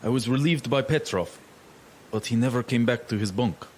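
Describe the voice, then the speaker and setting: An actor playing a haunted, superstitious ship's mate in a gothic audio drama, intense and halting. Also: male voice, mid-range voice, darkish tone, slight background noise, lightly acted. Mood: uneasy, insistent.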